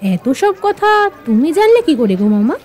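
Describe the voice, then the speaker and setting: A young detective heroine in a radio play. Her high voice swings widely in pitch, firm and admonishing, watchful and sure of herself. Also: very animated, moderate pace, a little mellow. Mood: probing. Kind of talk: radio drama acting.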